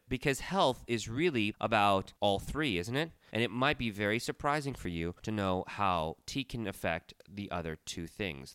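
A man's voice: a bit bright, clean tone, dry recording. A middle-aged man hosting a studio talk show, talking in a chatty, storytelling way while he recovers from a cold with a stuffy nose. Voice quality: deep, resonant. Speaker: podcast host. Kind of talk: casual chat.

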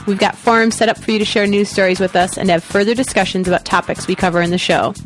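A woman's voice: mid-range voice, steady tone, quick pace, soft background music. A woman co-hosting a radio podcast, reading the closing announcements in a playful, upbeat way. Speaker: podcast co-host. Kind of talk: scripted show outro.

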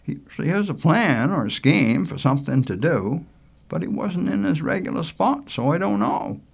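Man as an easygoing, animated coastal young fellow. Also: on the high side, moderate pace, vocal fry, breathy edge, voice pushed up, heavily acted. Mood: casual. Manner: colloquial, folksy.

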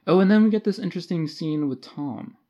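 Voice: medium pitch, brisk, warm tone, dull audio. A podcast co-host chatting casually about books, retelling scenes in informal, slangy language. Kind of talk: casual book discussion.

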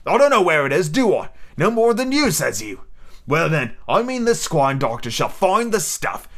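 A man's voice: fairly high pitch, very animated, moderate pace, fairly bright tone, voice pushed up, heavily acted. A man reading an audiobook, voicing a cunning, boastful, domineering pirate cook with emphatic rustic sailor talk. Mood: scornful, commanding. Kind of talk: audiobook character acting.

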